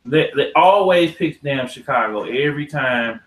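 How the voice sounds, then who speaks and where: A man's voice: mid-range voice, expressive, normal speed, a bit bright. An American man hosting a podcast, talking casually and opinionatedly in a slangy, profane, ranting manner. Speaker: podcast host. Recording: echoey untreated room.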